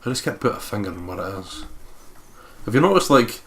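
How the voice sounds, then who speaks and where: A man's voice: fairly low pitch, big pitch swings, fairly quick, a little hiss or hum. A man hosting a let's play, reading visual novel narration aloud and breaking off into sweary, joking asides.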